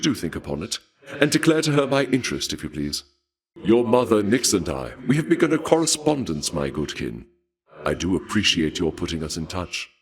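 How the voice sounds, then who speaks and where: An actor playing a suave, courtly and bloodthirsty god of war in a video game, speaking in a formal, archaic, measured way with polite menace. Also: male voice, low-pitched, big pitch swings. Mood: courteous, intrigued. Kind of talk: theatrical scripted video game dialogue.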